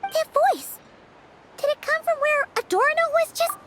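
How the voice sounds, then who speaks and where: A woman voicing a tiny, childlike, excitable companion girl in a video game, with a squeaky voice. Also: normal speed. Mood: alarmed. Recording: noisy game audio.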